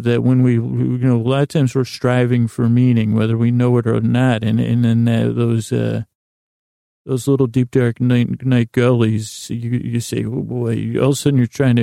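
A male podcast host with a soft, dark tone and a gravelly fry rambles through an improvised bedtime monologue. His pitch stays in a narrow band as he halts, corrects himself and wanders off into self-deprecating asides. The sound is close and dry. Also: no echo. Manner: halting and meandering, trailing off into tangents and self-corrections.

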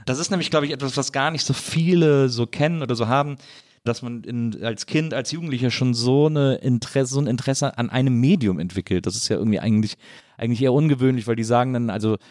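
Fast-talking man hosting a casual podcast. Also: medium pitch, a little mellow, no echo, studio quality. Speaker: podcast host, interviewer. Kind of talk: casual conversational interview.

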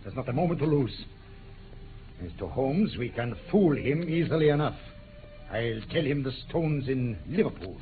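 An actor playing an irritable, arrogant, scheming count, curt and domineering, in a 1950s radio drama. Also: male voice, mid-range voice, expressive, moderate pace, narrow, tinny audio, close to an everyday voice. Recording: thin 1950s radio recording, music behind.

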